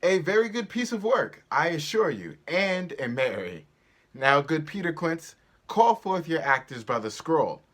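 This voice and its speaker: A man's voice: a little high, sing-song, unhurried, fairly bright tone, heavily acted. A boastful, eager weaver played by a man who overacts grandly in stage Shakespeare. His delivery is bombastic and emphatic, swooping widely in a raised voice. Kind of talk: theatrical Shakespeare staged reading.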